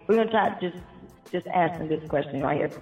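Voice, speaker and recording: A man's voice: fairly high pitch, quick pace, darkish tone. An American man hosting a casual interview, encouraging and slangy, often repeating his phrases. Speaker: interview show host. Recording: dull reverberant sound, music behind.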